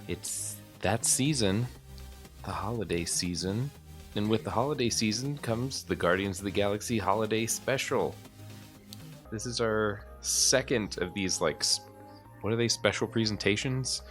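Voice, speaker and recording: A man with a very low voice hosts a podcast in loose, casual conversation. He rambles, trails off and restarts his thoughts, leaning on "like" and "um" as he works out what he means. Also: a little slow, fairly bright tone, faint music behind. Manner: rambling, hesitant, lots of fillers. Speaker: podcast host. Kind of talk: casual chat.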